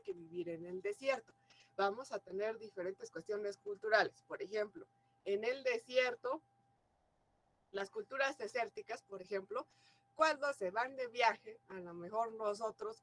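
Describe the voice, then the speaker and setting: A woman's voice: on the high side, vocal fry, a bit bright. A Mexican woman teaching a class, explaining ideas and often checking in with her students through questions. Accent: Mexican Spanish. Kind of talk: classroom lecture.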